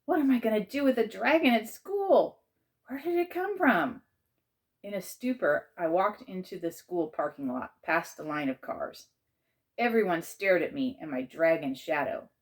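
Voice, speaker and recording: A woman reading a children's story aloud as an expressive, dramatizing narrator. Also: mid-range voice, moderate pace. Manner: animated, dramatizes a child's first-person tale. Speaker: author and teacher reading aloud.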